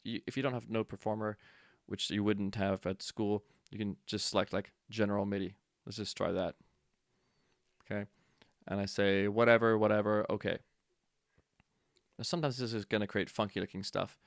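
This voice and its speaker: A man with a low voice and plenty of fry, talking through a software walkthrough like an instructor. He is informal and offhand, thinking aloud as he goes. The sound is close and dry. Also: dry recording. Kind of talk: casual software tutorial walkthrough.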